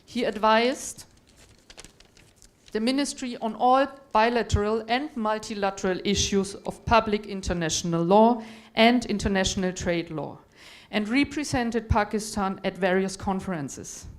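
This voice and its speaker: A woman's voice: mid-range voice, unhurried. A woman from Europe moderating a conference panel, giving formal, courteous introductions of the speakers. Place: conference hall.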